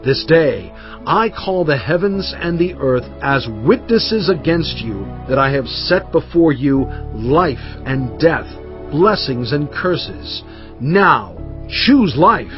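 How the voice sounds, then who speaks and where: An actor playing an aged prophet and leader, solemn and fervent, addressing his people in a dramatized scripture reading. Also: fairly high pitch. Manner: solemn, emphatic oratory. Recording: muffled audio with music underneath.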